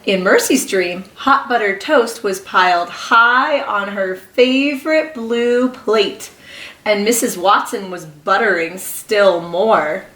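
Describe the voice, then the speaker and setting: A woman kindergarten teacher reading a story aloud to young children, expressive and encouraging, pausing to ask them questions. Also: mid-range voice, distant mic. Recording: echoey room.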